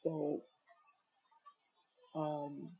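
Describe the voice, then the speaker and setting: A man in his thirties, an author sharing his life story in a casual spoken reflection, talking hesitantly with many pauses and fillers. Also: a little high, monotone, vocal fry, slightly rough. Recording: dull lo-fi recording.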